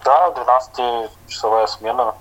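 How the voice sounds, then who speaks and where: A middle-aged man from Latvia, a chef, answering interview questions in an unhurried, plain way with soft hedging fillers. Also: mid-range voice, highs cut off.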